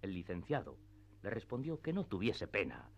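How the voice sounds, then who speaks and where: A man's voice: lowish voice, wildly swooping pitch, normal speed, vocal fry, slightly rough. A man narrating a literary radio drama from a script in an archaic storytelling style.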